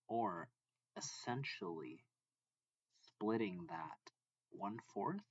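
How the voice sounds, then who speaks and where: A man reading a math textbook lesson aloud as a tutor, deliberately and in short phrases. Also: on the low side, dry recording, dull audio.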